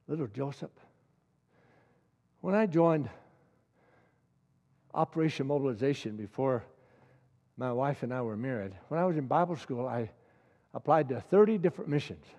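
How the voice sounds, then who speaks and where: A man's voice: medium pitch, expressive, normal speed, pauses often, warm tone. Impassioned preacher man in a hall. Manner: impassioned, rising to emphatic peaks, repeats key lines. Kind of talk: sermon.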